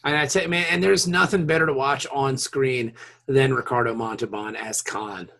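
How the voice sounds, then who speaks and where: A man's voice: medium pitch, steady tone, normal speed, creaky, sharp tone. A man co-hosting a podcast, chatting about films with slangy, profane enthusiasm. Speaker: podcast co-host. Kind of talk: casual chat, film commentary.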